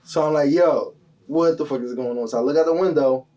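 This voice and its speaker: A man's voice: a little high, a little fast, distant mic. A young man, a guest telling his story in casual slang full of fillers. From Delaware County, Pennsylvania. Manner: animated, heavy slang, lots of fillers.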